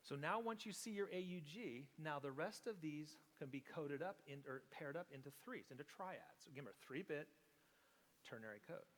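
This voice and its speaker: A man's voice: on the high side, lively intonation, moderate pace, fairly bright tone. A man preaching a teaching sermon, explaining step by step and often checking in with the congregation. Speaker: pastor. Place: church sanctuary. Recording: long hall reverb.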